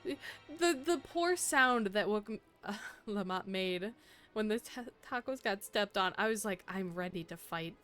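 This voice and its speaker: A woman's voice: mid-range voice, animated, measured pace, a bit bright, soft background music. A young adult woman streaming a game, chatting casually in a playful, teasing way and laughing often.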